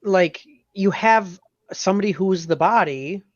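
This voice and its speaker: A podcast co-host chatting casually in an informal, halting way, on a slightly muffled microphone. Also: medium pitch, no room sound. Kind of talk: casual chat.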